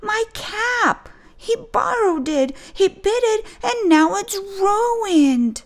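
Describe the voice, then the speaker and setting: A woman's voice: very high voice, big pitch swings, extremely slow, pitched above the performer's own voice, full character voice. A woman voicing a boisterous young boy shark in a children's storybook, exclaiming dramatically and sounding easily confused. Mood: upset.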